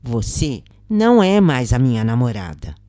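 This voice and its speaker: A woman's voice: extremely low pitch, big pitch swings, normal speed, warm tone, no echo, clean studio sound. A Brazilian woman voicing a hurt, indignant young male student in a story, with reproachful emphasis. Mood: hurt, firm.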